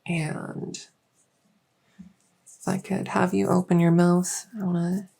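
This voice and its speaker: Low slow gravelly woman acting as a gentle little bug-folk doctor, soft spoken, reassuring, asmr roleplay. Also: flat delivery, heavily acted. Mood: focused, encouraging. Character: small insect-folk clinician, gentle, encouraging, matter-of-fact woman. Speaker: medical clinician.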